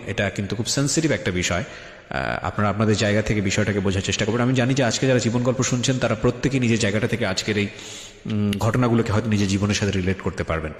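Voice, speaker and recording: Fast-talking Bangladeshi man hosting radio, echoey. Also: fairly low pitch. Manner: switches between Bengali and English. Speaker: radio show host. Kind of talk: serious interview with asides to listeners. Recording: echoey, long reverb.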